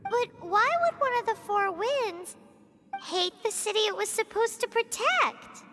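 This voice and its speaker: An actress playing a tiny, chirpy, naive fairy companion in a video game, cutesy and childlike. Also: female voice, expressive, measured pace, a bit bright, faint music behind, exaggerated voice. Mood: curious, puzzled. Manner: squeaky, cutesy, refers to self by name. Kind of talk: video game character acting.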